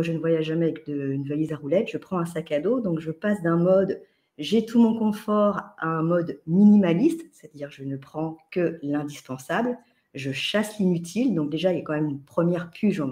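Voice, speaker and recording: A woman in her fifties from France, a podcast guest telling travel stories in a lively, animated way with many restarts and fillers. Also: on the low side. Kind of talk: casual podcast chat.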